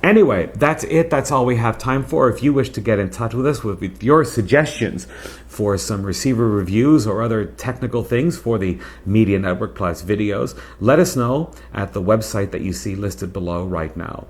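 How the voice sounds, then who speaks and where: Low-pitched man hosting a casual review. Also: normal speed. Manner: conversational, emphatic, addresses viewers with rhetorical questions. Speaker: host and radio reviewer. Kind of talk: casual product review to camera.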